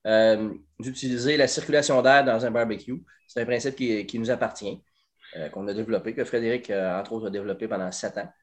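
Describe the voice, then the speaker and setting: A man in his forties with a Québécois French accent, a guest talking about his barbecue company in a casual interview, fluent and lively with plenty of hesitations. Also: on the low side, fairly quick. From Quebec. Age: 40s. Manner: animated, fluent, frequent "euh" and hesitations.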